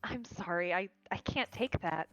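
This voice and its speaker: A woman's voice: fairly low pitch, moderate pace, a bit bright, no room sound, soft background music, close to an everyday voice. A woman voicing a modest servant in a game, deferential and a little hesitant. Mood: meek, apologetic. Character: polite, modest servant standing in for another.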